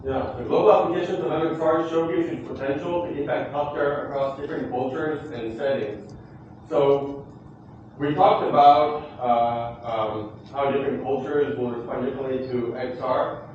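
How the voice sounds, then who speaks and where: A man presenting at a conference in a large hall, heard from some distance through a dull, muffled mic. He talks haltingly, pausing often as he searches for words. The space is very echoey and carries steady background noise. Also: medium pitch. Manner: hesitant, many fillers and restarts. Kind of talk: conference closing remarks.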